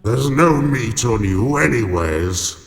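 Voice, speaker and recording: Male actor as pompous greedy giant, very slow delivery, swooping intonation, scornful, pantomime villain, long reverb. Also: mid-range voice, animated, creaky. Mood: greedy, dismissive. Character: grumpy domineering giant master, contemptuous and greedy. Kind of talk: audio pantomime acting.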